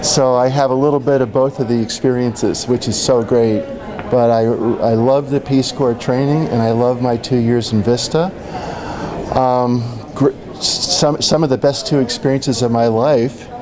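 A man's voice: medium pitch, fairly even intonation, measured pace. An older man recalling his volunteer training, enthusiastic and laughing. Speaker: interviewee. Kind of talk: casual personal recollection. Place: indoor conference venue. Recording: crowd noise behind. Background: crowd chatter.

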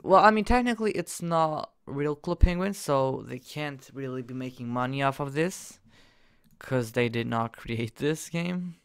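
A young man casually commenting while he plays a game on his computer, excitable and jokey, jumping from loud bursts to quiet mumbles. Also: mid-range voice, a little slow, smooth. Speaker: gaming YouTuber.

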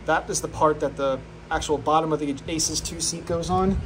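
A man's voice: fairly high pitch, fairly even intonation, moderate pace, fairly bright tone. Offhand man in a noisy workshop. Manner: offhand and self-deprecating, with fillers. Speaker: channel host. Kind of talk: casual workshop walkthrough. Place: home workshop, indoors.

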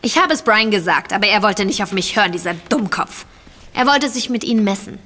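An actress in a German audio drama playing a cunning, unrepentant female criminal with a sharp, scornful manner. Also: medium pitch, lively intonation, brisk. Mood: bitter.

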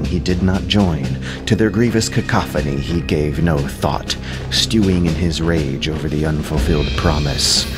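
A solemn bard played by a man declaims epic verse in an audio drama. The delivery is grandiose and very slow, in a deep register. Loud music plays along with the voice. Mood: brooding. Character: solemn epic bard chanting of warriors and ships.

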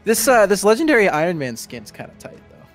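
A man's voice: high-pitched, big pitch swings, fast, fairly bright tone, soft background music. A man chatting casually as he co-commentates on a video game stream.

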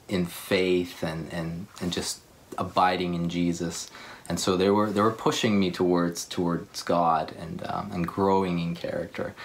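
Young man, Ukrainian accent, extremely low voice, halting and repetitive, living room couch. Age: young adult. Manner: halting, repeats words while searching for them. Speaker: church speaker. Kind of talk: casual conversation about faith.

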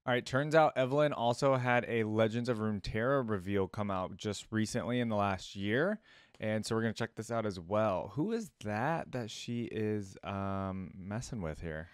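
A man's voice: on the low side, normal speed, smooth, dry recording, studio quality. A man in his twenties hosting a casual reaction video with off-the-cuff commentary. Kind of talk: casual reaction commentary, sometimes reading cards aloud.

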